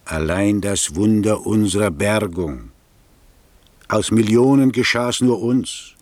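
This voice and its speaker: A loyal, kindly courtier in a classic radio play. He speaks low and slowly, close and dry, with courteous, consoling care. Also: a little mellow, dry recording. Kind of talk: classic German radio drama verse acting.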